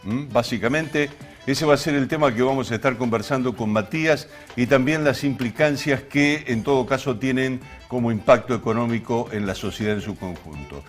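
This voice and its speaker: A man's voice: medium pitch, normal speed, soft background music. A man with an Argentine accent hosting a talk show, speaking in an expansive, explanatory way and often rephrasing as he introduces topics. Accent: Argentine Spanish. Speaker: television show host. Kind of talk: conversational programme introduction to camera.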